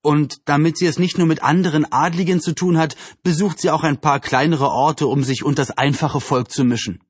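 A man's voice: fairly high pitch, steady tone, brisk, slightly rough, no room sound. A man voicing a wry, teasing but easily conciliatory boy in a children's storybook.

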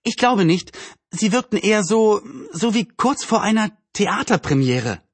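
A man's voice: high voice, sing-song, unhurried, slightly rough, no echo, pitched above the performer's own voice, full character voice. A man voicing a cheerful, chatty young girl with a teasing, eager manner in a storybook reading. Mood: polite, cheerful.

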